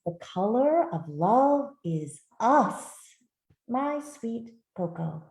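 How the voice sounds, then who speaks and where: A woman reading a picture book aloud to children in a gentle, expressive storytelling manner. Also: fairly high pitch, drawn-out words, gravelly. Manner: gentle, expressive, with soft asides to listeners. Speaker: author reading her own picture book. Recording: roomy, echoey sound.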